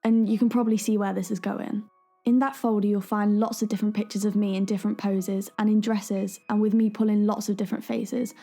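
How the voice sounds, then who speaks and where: A young woman acting as a loving, earnest daughter in an audio drama, speaking a gentle, heartfelt letter to her father. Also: mid-range voice, flat delivery, a little fast, faint music behind, close to an everyday voice. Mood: tender, explaining.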